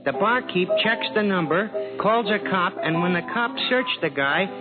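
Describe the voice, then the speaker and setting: A man's voice: high voice, lively intonation, normal speed. A man playing a chatty, folksy bartender in an old-time radio drama, slangy and long-winded with his gossip. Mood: eager, gossipy. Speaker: actor in a radio crime drama. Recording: tinny old radio recording, music behind.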